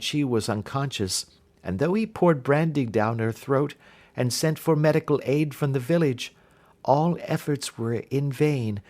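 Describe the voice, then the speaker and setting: A man reading classic detective fiction aloud as an audiobook narrator, in scripted storytelling style. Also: medium pitch.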